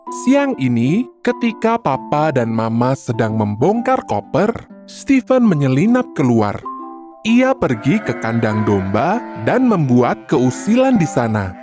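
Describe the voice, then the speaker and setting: A storyteller narrating a scripted children's tale in an expressive, deliberate manner. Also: medium pitch, music in the background.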